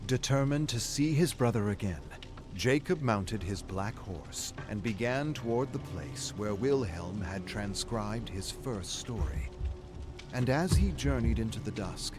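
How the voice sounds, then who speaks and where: A man streaming a game, reading lore passages aloud and breaking off to react casually and swear freely. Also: fairly low pitch. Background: game music.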